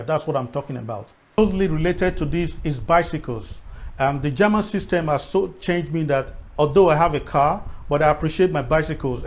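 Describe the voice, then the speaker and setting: A middle-aged man with a Nigerian accent and Edo as his first language talks reflectively to viewers, repeating words for emphasis. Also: fairly high pitch. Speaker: vlogger.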